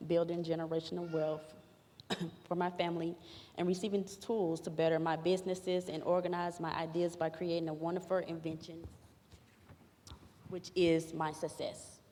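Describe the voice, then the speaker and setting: A woman's voice: low-pitched, dark tone. A woman giving a prepared testimonial to an audience, speaking earnestly in formal phrasing. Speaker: programme participant giving a testimonial. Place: large echoey room at a graduation event. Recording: echoey hall with background room noise.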